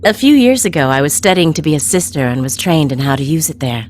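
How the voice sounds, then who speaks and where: Coy, teasing, low-voiced woman villain. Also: expressive, quick pace, darkish tone, slight background noise. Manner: coy, teasing, sing-song. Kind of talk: video game voice acting.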